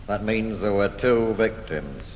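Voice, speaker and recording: An actor playing a careful, methodical forensic examiner in vintage radio style. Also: male voice, fairly low pitch, lively intonation, unhurried, darkish tone. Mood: measured, intent. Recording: dull, echoey, noisy vintage radio recording.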